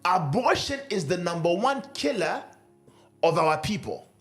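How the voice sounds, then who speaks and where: A man's voice: sits high, sing-song, unhurried, faint music behind. A man preaching a sermon, asking his listeners questions and repeating key phrases for emphasis.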